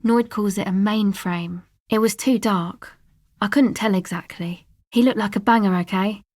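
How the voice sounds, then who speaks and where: A woman's voice: mid-range voice, normal speed, darkish tone, dry recording, studio quality, close to an everyday voice. An actress voicing a laid-back, guarded young woman from a dance music crowd, speaking casual, slangy video game dialogue with an offhand manner. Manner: offhand, slangy, hesitant asides.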